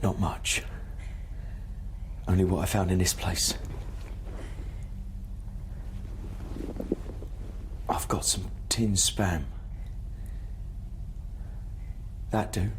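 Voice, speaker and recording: An actor playing a calm, world-weary survivor in an audio drama, laconic and low-key as he offers help. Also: unhurried, a little hiss or hum. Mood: cautious, calm.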